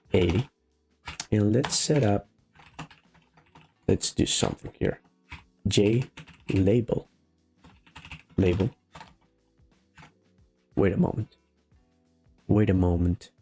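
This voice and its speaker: Male coding host, Venezuelan Spanish accent, gravelly and mellow, thinking out loud in a casual, halting walkthrough. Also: sits low. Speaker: programming tutorial host. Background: faint music, keyboard typing.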